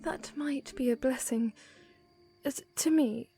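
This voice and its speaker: Actress as young princess haunted by loss: high voice, hushed and halting delivery, music underneath, audio drama. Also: female voice. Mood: grieving. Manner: halting, hushed, formal wording. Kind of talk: fan audio drama acting.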